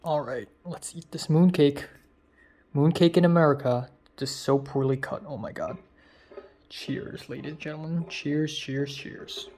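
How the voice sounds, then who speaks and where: A young man talks to his camera late at night, his voice sitting slightly higher than most men's. He wanders from thought to thought, stalling on fillers and restarting his sentences. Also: steady tone, a little slow, darkish tone. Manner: rambling and hesitant, many fillers and restarts. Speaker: college student vlogger. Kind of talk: late-night personal video diary.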